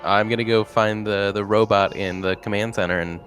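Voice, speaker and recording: Man, low voice, tabletop player, hesitant, thinking aloud, casual, faint music. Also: fairly even intonation, brisk, fairly bright tone. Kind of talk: casual chat.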